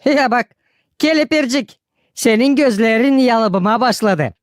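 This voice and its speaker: A woman's voice: on the high side, measured pace, dry recording, studio quality, lightly acted. A woman voicing a teasing, scolding village woman in a cartoon, full of exclamations. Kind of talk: cartoon character dialogue. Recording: music behind the dialogue.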